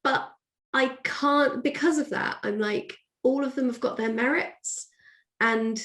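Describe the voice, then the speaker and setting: A woman's voice: sits high, unhurried, a bit bright. A woman speaking as a podcast guest in a casual, chatty, hedging way, recorded in an echoey room. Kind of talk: casual chat.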